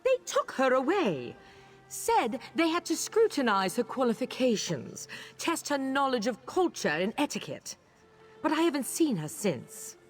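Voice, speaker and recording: Haughty female elf perfectionist, high voice with dramatic swoops, fussy game-character delivery. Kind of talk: video game character dialogue. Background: game music.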